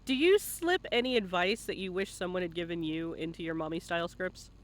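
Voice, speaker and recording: Bright, high-pitched man hosting. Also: fairly quick. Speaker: interview host. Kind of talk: casual live-stream interview.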